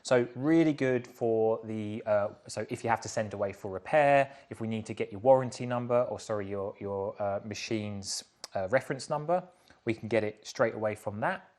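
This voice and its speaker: A man's voice: on the low side, normal speed, a little mellow. A man in his thirties giving a casual, explanatory product demonstration in an indoor workshop showroom.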